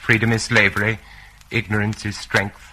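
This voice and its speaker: An uneasy male citizen of a regime speaks in a lowish, bright-edged voice. He is dutiful and guarded, in the manner of old radio drama. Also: little pitch movement, normal speed, slight background noise, poor audio. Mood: dutiful, submissive. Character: obedient, uneasy male party worker under a regime. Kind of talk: mid-century radio drama acting.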